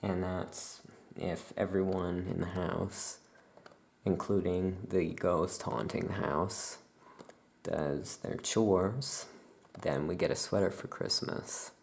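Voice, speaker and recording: A performer voicing a chatty, musing necromancer witch shopkeeper in a soft-spoken ASMR roleplay, with writing sounds behind. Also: low voice, steady tone, slow pace, creaky, a little hiss or hum, exaggerated voice. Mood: relaxed, musing.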